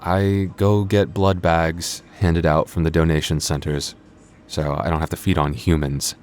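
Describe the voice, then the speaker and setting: A man's voice: low-pitched, little pitch movement, measured pace, darkish tone. A man acting a flustered young vampire store clerk in an audio roleplay, stammering nervously and awkwardly. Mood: sheepish explaining. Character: flustered young male vampire working convenience store night shift. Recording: faint background noise.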